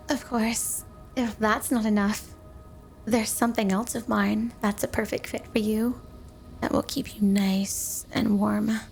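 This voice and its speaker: Woman voicing a doting snow spirit wife, obsessive, coy and teasing, fairly high voice, ASMR roleplay, soft music behind. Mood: flirty, suggestive. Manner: flirty, affectionate, teasing, coy. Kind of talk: ASMR roleplay, intimate monologue to a listener.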